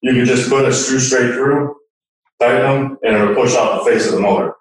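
Man, lowish voice, technical demonstrator, conversational, strongly echoing room, away from the mic. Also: fairly even intonation, moderate pace. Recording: echoey room, distant microphone.

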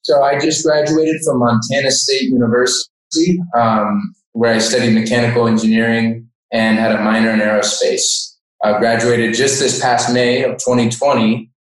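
A man's voice: medium pitch, fairly even intonation, normal speed. A man in his twenties with an American accent, a sales engineer explaining things as an interview guest. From Denver, Colorado, United States. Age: 20s. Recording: echoey room, distant microphone.

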